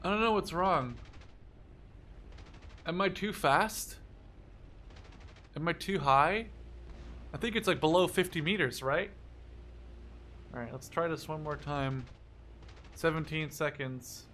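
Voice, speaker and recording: Bright-voiced, excitable young man gaming. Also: on the high side, takes it slowly, long pauses, slight background noise. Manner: excitable, swears freely, talks to himself. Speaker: gaming YouTuber. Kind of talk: excited gameplay commentary, casual and profane. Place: small room at home.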